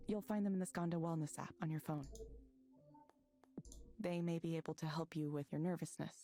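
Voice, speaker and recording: A mellow, composed AI counselor. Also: female voice, low voice, fairly even intonation, soft background music. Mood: calm, clinical. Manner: measured, polite, scripted. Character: composed female AI counseling program, measured and clinical. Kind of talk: visual novel game voice acting.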